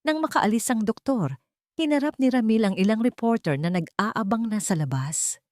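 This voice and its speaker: A woman's voice: fairly high pitch, lively intonation, normal speed, a little mellow, dry recording, clean studio sound. A woman narrator reading a romantic story as dramatic scripted narration.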